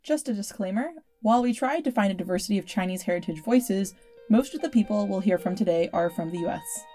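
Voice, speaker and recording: A woman hosting a podcast, speaking in a measured, conversational way. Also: mid-range voice, warm tone, soft background music. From United States. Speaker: podcast host. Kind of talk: podcast narration and chat.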